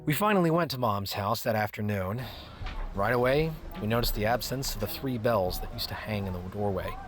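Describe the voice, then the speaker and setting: A man's voice: lowish voice, heavy background noise, natural voice. A man playing an uneasy grown grandson who narrates an audio drama and talks in a hesitant way. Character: grown grandson, uneasy, relieved, reluctant with his family. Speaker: narrator and lead character. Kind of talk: first-person audio drama narration and dialogue. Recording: music bed under the voice.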